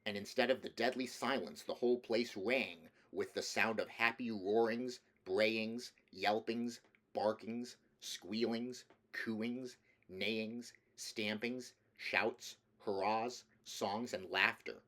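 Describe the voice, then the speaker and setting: Low-pitched man narrating a storybook. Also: steady tone, slow, a bit bright. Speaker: audiobook reader.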